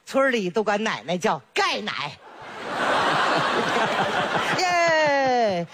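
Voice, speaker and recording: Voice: medium pitch, sing-song, extremely slow, heavy background noise. A performer playing a chatty, doting old village grandmother with a Northeastern Chinese accent in a solo comedy sketch, full of exclamations and jokes. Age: older. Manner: sing-song, full of exclamations, teasing and jokey.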